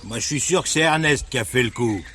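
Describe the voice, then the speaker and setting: A plain-spoken village man played by an actor in a French TV drama. His mid-pitched voice is colloquial and blunt, yet deferential. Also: brisk, pushed and bright, close to an everyday voice. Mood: earnest, blunt. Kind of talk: French television drama acting.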